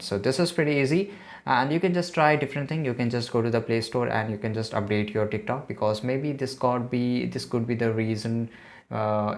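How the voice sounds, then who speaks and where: A man hosting a casual how-to tutorial, talking listeners through steps in an instructional way. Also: on the low side. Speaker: tutorial host. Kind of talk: casual step-by-step screen tutorial narration.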